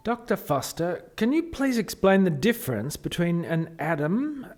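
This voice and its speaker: Radio play alien questioner, male actor: high for a man, lively intonation, formal and inquiring. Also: moderate pace, soft background music. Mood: questioning. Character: probing male alien official questioning the creators.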